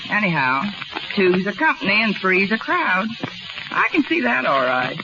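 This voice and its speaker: Carnival schemer, female, played by an actress: knowing, slangy, animated pitch, old radio drama with clear background noise. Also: normal speed, lightly acted. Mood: breezy, teasing. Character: scheming, breezy carnival woman playing matchmaker. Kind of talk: 1930s radio drama acting. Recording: noisy muffled 1930s radio recording.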